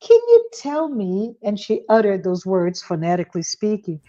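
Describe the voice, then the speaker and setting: A woman's voice: mid-range voice, very animated, normal speed, dark tone, highs cut off. A middle-aged woman whose first language is Portuguese, hosting a podcast conversation in a reflective way, with many restarts. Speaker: podcast host.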